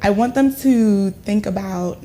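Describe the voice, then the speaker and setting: A woman's voice: medium pitch, a little slow, dark tone, slight background noise. A woman from Ghana working as an education consultant, speaking as a guest in a reflective, earnest way. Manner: reflective, earnest, uses "like" and "right?". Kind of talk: casual studio chat.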